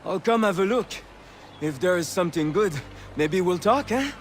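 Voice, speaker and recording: An actor playing a friendly, plain-spoken miner with a French Canadian accent in a video game. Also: male voice, sits high, lively intonation, normal speed, a little hiss or hum. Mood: earnest, amiable. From Quebec.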